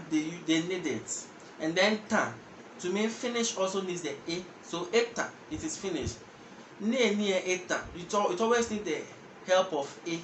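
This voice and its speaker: A man's voice: high-pitched, a bit bright. A young man with a Ghanaian accent hosting a casual language lesson straight to camera, explaining step by step and repeating words as he goes. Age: young adult. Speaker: language teacher and channel host. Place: indoor room with patterned wall. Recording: echoey room with background noise.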